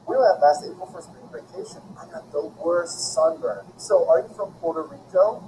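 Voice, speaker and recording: Guy doing gushy curious school kid for a story, voice goes high, faint noise behind. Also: animated, normal speed, mellow, rough recording. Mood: gushing, curious. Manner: gushing, curious, swooping questions. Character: nosy, chirpy American teenage schoolmates in a storybook.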